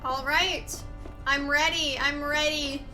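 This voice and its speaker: Female Let's Play host: high bright voice, unhurried, informal reactions, faint game music behind. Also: steady tone, rough recording. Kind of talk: casual gameplay commentary.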